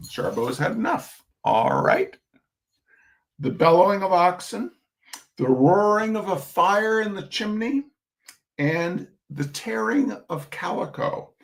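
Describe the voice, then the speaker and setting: A man reading comic essays aloud to listeners in a theatrical, expressive way, breaking off for chatty, wry asides. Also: on the high side, creaky. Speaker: reader of humorous essays.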